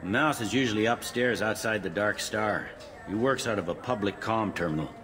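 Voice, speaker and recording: Low-voiced, world-weary cop, played by a man. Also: moderate pace, noisy background, natural voice. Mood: dry, matter-of-fact. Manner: clipped, dry, informal briefing. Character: matter-of-fact, world-weary male station police officer. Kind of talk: video game voice acting.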